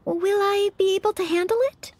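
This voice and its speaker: A woman's voice: extremely high pitch, flat delivery, brisk, a little mellow, no echo. A woman playing an earnest, polite, slightly flustered young female maid-knight in a video game. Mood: determined, nervous.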